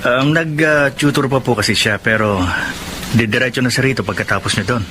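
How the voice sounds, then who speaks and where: A man's voice: medium pitch, brisk, sharp tone, a little hiss or hum, lightly acted. A man playing a devoted young suitor with a Filipino accent in a radio drama, polite and earnest. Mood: earnest, respectful.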